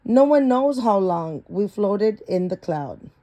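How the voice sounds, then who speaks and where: Slow-paced woman reading a picture book aloud. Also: mid-range voice, lively intonation, mellow. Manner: stumbles over harder words and corrects herself. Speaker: story reader.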